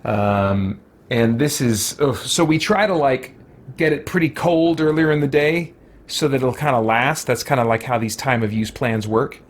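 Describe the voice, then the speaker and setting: A man's voice: mid-range voice, normal speed. An American man chatting casually and in a rambling, laid-back way as he streams a game from his home office on a microphone with a pop guard. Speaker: let's play streamer. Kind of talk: casual gameplay commentary, chatty.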